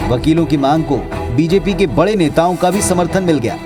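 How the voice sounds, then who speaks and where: A man's voice: fairly high pitch, fairly quick. A man with an Indian accent narrating a scripted news report as a reporter. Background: music bed.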